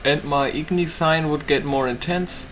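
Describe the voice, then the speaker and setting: A man's voice: a little high, normal speed, a bit bright, a little hiss or hum. A man giving casual gameplay commentary, thinking aloud as he hosts a gaming video. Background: game music.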